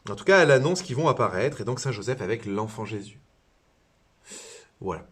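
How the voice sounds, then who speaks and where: A man's voice: on the low side, expressive, brisk, breathy edge. A man, a priest and religious brother from southern France, giving an informal spiritual talk with many hesitations and restarts. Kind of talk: informal spiritual teaching to camera.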